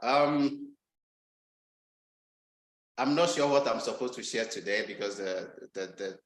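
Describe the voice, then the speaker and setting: A man's voice: fairly low pitch, a little slow, echoey room, rough recording. A man from Ghana giving a guest talk over a video call, speaking hesitantly with many ums and restarts. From Ghana, Volta region. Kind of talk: casual online talk.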